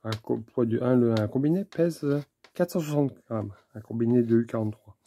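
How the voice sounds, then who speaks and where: Mellow-voiced man explaining, noisy recording. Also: medium pitch. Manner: halting, methodical, in short phrases. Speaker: presenter of a technical demonstration video. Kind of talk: unscripted hands-on explanation. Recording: clear background noise with clicks.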